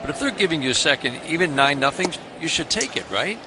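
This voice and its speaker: Radio baseball announcer guy, brisk, gets higher on big plays, noisy broadcast. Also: animated. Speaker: play-by-play announcer.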